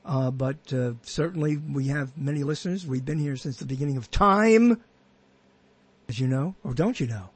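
A man's voice: a little high, animated, moderate pace, slightly rough, no room sound. A man hosting a podcast, chatting casually and rambling with many restarts and half-finished thoughts. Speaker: podcast host. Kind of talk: casual interview chat.

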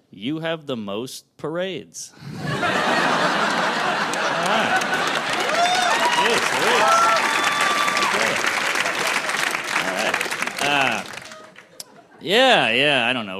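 A man doing a stand-up set on a club stage, with a lively, self-mocking delivery. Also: mid-range voice. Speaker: stand-up comedian. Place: comedy club stage before laughing audience. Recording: loud crowd laughter and noise.

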